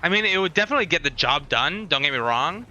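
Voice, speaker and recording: Bright-voiced man livestreaming, sarcastic asides. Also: a little high, animated, fast. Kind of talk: casual livestream commentary, chatting to viewers.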